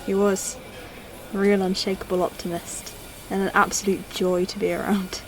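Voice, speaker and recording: A woman playing a courteous, curious old-model android in a sci-fi audio drama, speaking in a measured, polite way. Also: mid-range voice, level tone, a little hiss or hum, lightly acted, poor audio. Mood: reflective, fond.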